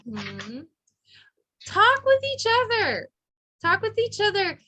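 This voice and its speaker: A woman's voice: very high voice, wildly swooping pitch, slow pace, pushed and bright. A woman teaching an adult English class, patient and encouraging, who models her words carefully for her learners.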